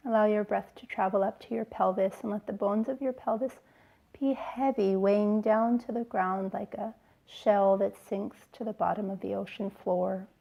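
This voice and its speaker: Gravelly-edged woman guiding slow relaxation. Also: mid-range voice, steady tone, lo-fi sound. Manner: soothing, gentle, unhurried phrasing with pauses. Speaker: yoga teacher leading guided relaxation. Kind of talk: slow guided relaxation instruction.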